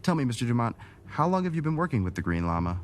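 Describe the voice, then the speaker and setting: A man playing a composed, probing city councilman with hidden schemes, in a measured radio drama performance. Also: mid-range voice, sing-song, slight background noise, natural voice. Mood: cordial, probing.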